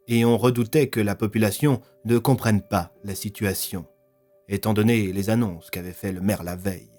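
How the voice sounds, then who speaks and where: A man's voice: lowish voice, a little mellow. A man narrating a scripted audio story as its storyteller.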